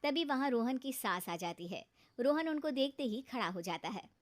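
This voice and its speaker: Female narrator, high voice, scripted storytelling for cartoon moral tales. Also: animated, moderate pace.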